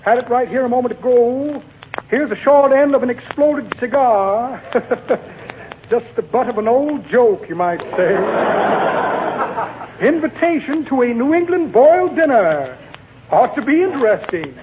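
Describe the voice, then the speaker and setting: Very high-voiced rambling windbag. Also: male voice, expressive, unhurried. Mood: absent-minded, jovial. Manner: muttering to himself, chuckling at own jokes. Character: rambling old windbag cracking corny jokes. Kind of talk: 1940s radio comedy acting. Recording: tinny 1940 radio, noisy, live audience.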